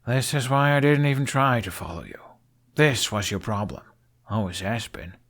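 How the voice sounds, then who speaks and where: A man's voice: medium pitch, expressive, normal speed, fairly bright tone. A man voicing a stubborn, blunt young Viking outcast in an audiobook, terse and argumentative in a matter-of-fact way. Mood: calm, cutting. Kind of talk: audiobook character acting.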